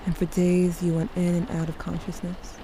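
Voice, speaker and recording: A woman plays a weary, sardonic teenage girl narrating an audio drama. Her voice sits on the low side and she confides as if to someone close. Clear ambient noise runs behind her. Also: completely flat delivery, moderate pace, lightly acted. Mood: weary, tense.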